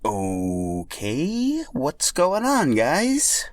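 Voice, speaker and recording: A man voicing an excitable, brash female dinosaur racer in a storybook tale. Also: fairly high pitch, drawn-out words, no echo, pitched above the performer's own voice, exaggerated voice. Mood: hesitant. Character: excitable female pink dinosaur who lives to race.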